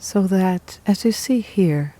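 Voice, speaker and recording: A woman guiding a meditation in a calm, unhurried, soothing manner, leaving pauses between short phrases. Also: mid-range voice. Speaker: meditation guide. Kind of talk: guided meditation, scripted narration.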